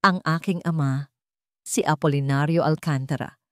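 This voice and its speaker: A woman narrator plays a wronged heiress in a dramatic audio story. She is calm, cold and commanding, speaking with firm authority. The sound is dry and close. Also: low-pitched, normal speed, no echo. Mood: cold, accusing.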